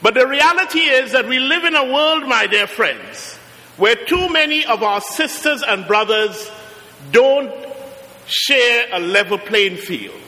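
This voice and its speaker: A man's voice: extremely high pitch, lively intonation, pushed and bright. Trinidadian preacher man in a reverberant church. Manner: preaching with rising emphatic peaks and slow drawn-out phrases. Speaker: guest preacher. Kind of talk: sermon. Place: large church.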